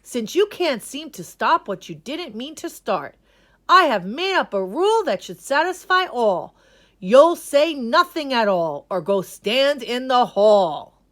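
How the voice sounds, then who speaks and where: Woman acting a stern, bossy school principal, swooping pitch. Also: very animated, unhurried, a bit bright. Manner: firm, emphatic, commanding.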